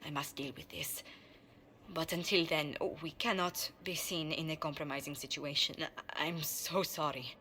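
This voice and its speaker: A woman's voice: low-pitched, steady tone, normal speed, pushed and bright, a little hiss or hum. An actress playing a refined, proper young noblewoman diplomat in a video game, with precise, formal speech that can turn flustered. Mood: distressed, flustered. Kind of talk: video game cutscene acting.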